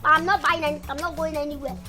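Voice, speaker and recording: A woman's voice: high-pitched, fairly even intonation, very fast, loud music behind the voice, natural voice. A woman playing a cheeky young house help who talks back, with a Nigerian accent. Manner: sassy, talks back, stumbles over words.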